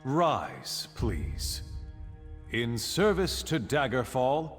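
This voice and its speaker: A man's voice: mid-range voice, wildly swooping pitch, drawn-out words, faint music behind, exaggerated voice. An actor playing a proud, gracious king in a fantasy game, speaking in a stately, formal manner. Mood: solemn, gracious. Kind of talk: video game voice acting. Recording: long echo of a stone hall.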